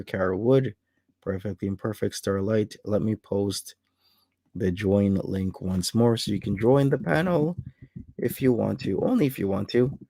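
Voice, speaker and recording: Late-30s male stream host; low, gravelly, dry close sound, easy roll-call greetings. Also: mellow, dry recording. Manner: greets viewers by name in a list. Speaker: livestream host. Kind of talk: casual livestream chat.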